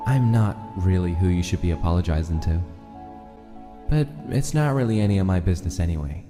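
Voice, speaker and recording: Man voicing a low, laid-back young skeleton. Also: animated, brisk. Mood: guarded, low-key. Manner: casual, hesitant, understated. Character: laid-back young male skeleton, guarded, protective brother. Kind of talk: dramatic comic dub acting. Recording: long reverb, clear music behind.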